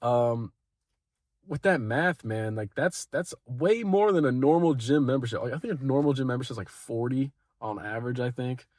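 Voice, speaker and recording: A sick young man vlogging casual gym advice, sounding a bit raspy and nasal, rambling with lots of fillers and swearing. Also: medium pitch, normal speed, a little mellow, dry recording, studio quality. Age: young adult. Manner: rambling, laid-back, swears often, lots of "like". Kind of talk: casual motivational vlog.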